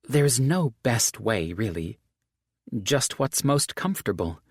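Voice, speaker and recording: A man voicing a wary young human woman in an audiobook, lifting his voice higher for her lines. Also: big pitch swings, slow pace, darkish tone, breathy edge, no room sound, clean studio sound, exaggerated voice. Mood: uneasy. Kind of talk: audiobook character dialogue.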